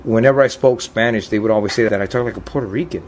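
A man in his fifties with a somewhat low voice gives a documentary interview. He talks in a plain, earnest way and lets real emotion show without dressing it up. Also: racing, a little mellow. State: choked up. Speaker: deported veteran telling his story.

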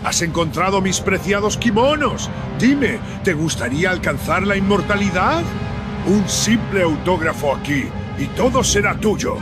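A man's voice: high voice, big pitch swings, a little slow. A man voicing a scheming ancient cartoon cave master who offers sinister bargains in a theatrical, slyly coaxing manner. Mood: sly, inviting. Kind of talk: animated cartoon acting. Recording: loud music behind the voice.

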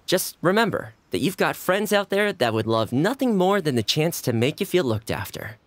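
A man voicing a friendly, easygoing young housekeeper who speaks in a gentle, sociable way, in video game voice acting. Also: fairly high pitch, big pitch swings, normal speed, dry recording.

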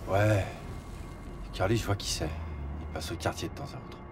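Man playing defiant street kid, fairly low voice, grudging informant, slangy, audio series, music underneath. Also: moderate pace, close to an everyday voice. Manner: sullen, defensive, slangy. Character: defiant young male street kid turned reluctant informant. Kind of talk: French audio drama acting. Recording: music bed behind the voice.

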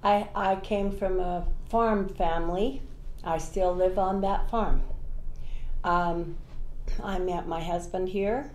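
A woman's voice: fairly low pitch, warm tone. An older woman from Utah, reminiscing chattily about her school days in an interview. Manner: chatty, rambling with fillers. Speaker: interviewee, alumna. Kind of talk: casual reminiscing. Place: room with large windows, indoors. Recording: roomy with faint hiss.

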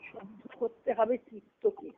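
A woman's voice: fairly high pitch, fairly even intonation, a little hiss or hum. A woman leading a devotional teaching on a conference call, reflective and explaining step by step, often asking a question and then answering it. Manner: recites scripture terms in a measured cadence. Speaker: spiritual discourse leader. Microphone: phone on a conference call. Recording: tinny conference-call phone line.

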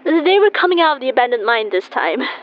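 A woman playing an anxious, troubled woman in an audio drama, speaking haltingly. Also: high-pitched, big pitch swings. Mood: anxious, shaken. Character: anxious, troubled woman insisting on what she saw. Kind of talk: naturalistic audio drama acting. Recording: muffled lo-fi phone call.

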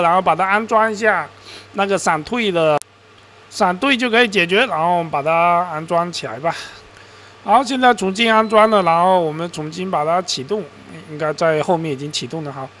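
A man's voice: sits high, brisk, fairly bright tone, slight background noise. A man hosting a casual screen-recorded game video, rambling, often correcting himself, and sounding mildly exasperated. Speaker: gaming and crypto-mining video host. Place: voice-over on his computer screen recording.

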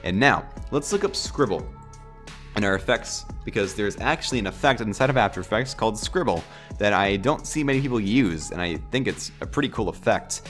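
A man with a cold and a sore throat, talking casually and nasally through a software tutorial, with lots of fillers and cracks in his voice. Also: lowish voice, expressive, a little fast, faint music behind. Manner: rambling, filler-heavy, laid-back. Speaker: tutorial host.

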